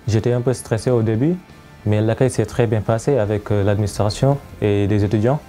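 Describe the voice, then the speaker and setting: A man's voice: lowish voice, fairly even intonation, brisk, warm tone. A young man with a Senegalese French accent giving an interview testimonial as a student. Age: young adult. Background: guitar music.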